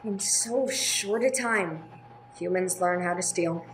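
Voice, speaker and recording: A woman playing a gentle, earnest, sorrowful nun in a radio play, speaking with some hesitation. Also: on the low side, slow. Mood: wistful, sad. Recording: clear background noise, room ambience.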